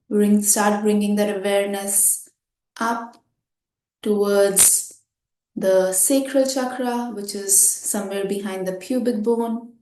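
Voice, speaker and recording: A woman leads a guided meditation at a very slow pace, leaving space between short phrases. Her voice is mellow and relaxed, with a mid-range pitch. The room adds a noticeable echo around her gentle instructions.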